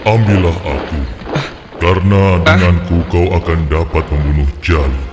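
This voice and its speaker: A mysterious, solemn talking object, voiced by a man calls out in drawn-out phrases. Its deep cartoon voice rings in long reverb. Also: over music, natural voice. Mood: solemn, beckoning. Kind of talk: Indonesian cartoon voice acting.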